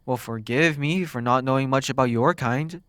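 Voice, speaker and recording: Casting: male actor as a sardonic, flirtatious demon for ASMR roleplay, mid-pitched, intimate, teasing with lingering pauses, recorded close and dry. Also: fairly bright tone, no room sound, natural voice. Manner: teasing, sardonic, drawn-out words with dramatic pauses. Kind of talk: ASMR roleplay, intimate one-sided dialogue.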